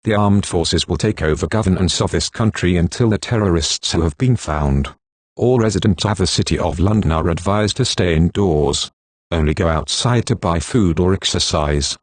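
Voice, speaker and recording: A man's voice: sits low, normal speed, dry recording. A man voicing a stern government announcer, reading a scripted emergency alert in a formal, impersonal, authoritative manner.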